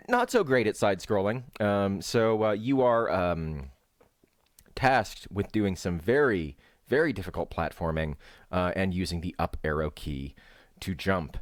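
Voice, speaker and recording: A man giving casual, rambling game commentary as a streamer, halting and hesitant, with dry, wry remarks. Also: sits low. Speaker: speedrunner and streamer, host. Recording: roomy echo, faint game music behind.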